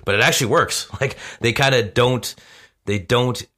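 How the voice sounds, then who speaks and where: A man's voice: medium pitch, moderate pace, sharp tone. A man who is a podcast guest, chatting casually in an informal, rambling way.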